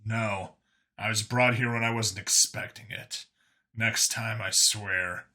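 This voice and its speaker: A man's voice: lowish voice, steady tone, takes it slowly, pushed and bright, full character voice. A man voicing a wary human man in a narrated science fiction story, dry, evasive and a little awkward. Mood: dry, deadpan.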